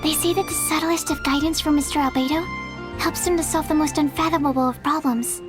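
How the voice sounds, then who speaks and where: A woman's voice: high voice, fairly even intonation, fairly quick, music in the background, close to an everyday voice. A woman voicing a shy, bookish young female alchemist in an anime video game, hesitant, apologetic and earnest. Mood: earnest, admiring.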